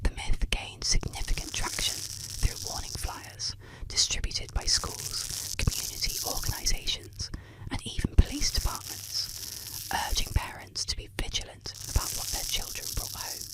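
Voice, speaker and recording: An ASMR storyteller narrating scripted tales in a breathy, unvoiced whisper, with soft, deliberate phrasing. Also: rough recording.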